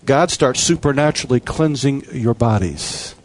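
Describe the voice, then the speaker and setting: A man's voice: mid-range voice, expressive, moderate pace. A man preaching a sermon with an emphatic, repetitive cadence.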